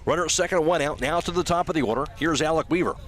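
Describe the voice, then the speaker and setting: Higher-voiced American man calling baseball play-by-play. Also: rapid-fire, fairly bright tone. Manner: clipped phrases, calling each pitch as it happens. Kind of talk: live radio play-by-play commentary. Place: at an outdoor high school baseball game.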